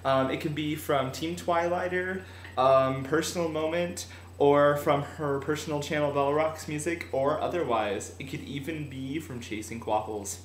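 A young man in his late teens vlogging casually from a room at home on a webcam, energetic and animated. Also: fairly high pitch, normal speed. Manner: energetic, animated, laughs often. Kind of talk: casual fan vlog. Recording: roomy room echo, faint hiss.

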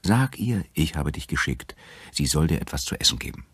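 A man voices a commanding storybook bear. The voice is extremely deep and husky, giving curt instructions in a dry recording. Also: very animated, fairly quick, a bit bright, no room sound, exaggerated voice. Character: gruff commanding male forest bear.

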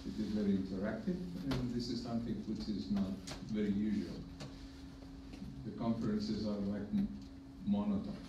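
A man's voice: lowish voice, fairly even intonation. Greek accent guy at a podium, recorded from far off, dull sound w/ background hiss. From Greece. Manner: measured, emphatic, with dry humor. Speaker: hepatologist panelist. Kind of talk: conference panel remarks. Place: conference room with projector. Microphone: podium mic, filmed from far in the room. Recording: echoey room from afar, dull and noisy.

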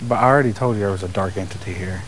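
A man investigating a tunnel at night, talking in low, hushed tones and earnestly coaxing spirits to respond. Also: rapid-fire, a little hiss or hum. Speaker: paranormal investigator. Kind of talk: casual chat, calling out to spirits. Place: dark tunnel outdoors at night.

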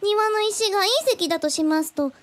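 A woman's voice: extremely high pitch, animated, normal speed. A woman voicing a precocious genius little girl in a Japanese radio drama, speaking with quaint, very formal, old-fashioned politeness. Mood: curious.

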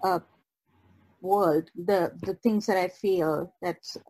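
A woman guest chatting casually on a podcast, halting as she searches for her words. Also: lowish voice, fairly even intonation, warm tone, no echo, low-quality recording. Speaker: guest artist.

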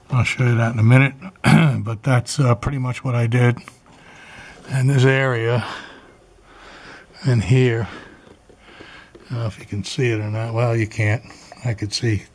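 A middle-aged man casually narrating his home repair work, unhurried and rambling with frequent uhs and throat clearing, recorded on a handheld camera in his garage workshop. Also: mid-range voice. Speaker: DIY home repair vlogger. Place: garage workshop and attic crawl space, indoors.

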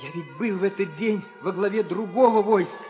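An actor playing a noble, devoted young warrior prince, declaiming fervently in an old theatrical audio drama. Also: male voice, sits high, moderate pace, dark tone, close to an everyday voice. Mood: anguished, vowing. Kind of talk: 1960s Soviet theatrical audio drama acting. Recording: old reverberant studio recording with music.

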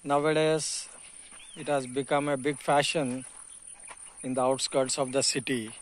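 A man's voice: medium pitch, little pitch movement, a little slow. A middle-aged man with an Indian English accent, giving an earnest, explanatory selfie commentary while walking outdoors around a farm. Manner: earnest, explanatory, preachy asides. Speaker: farm vlogger. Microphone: phone held at arm's length.

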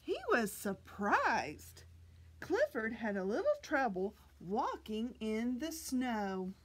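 Casting: woman, middle-aged, children's story-time reader, high voice, very expressive pitch, careful enunciation. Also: breathy edge. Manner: deliberate, animated, exaggerated enunciation for children. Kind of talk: expressive picture-book read-aloud for young children. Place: small library children's room, indoors.

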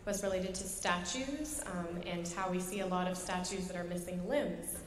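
Young woman dancer, bit low voiced, casual, echoey hall with noise. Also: normal speed. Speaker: dancer on a panel. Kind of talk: casual panel talk. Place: large concert hall stage.

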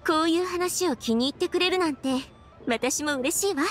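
A woman's voice: very high-pitched, measured pace, faint music behind. An actress playing a thoughtful young woman official in an anime game, composed and articulate as she explains things. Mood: pleased, reflective. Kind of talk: anime video game voice acting.

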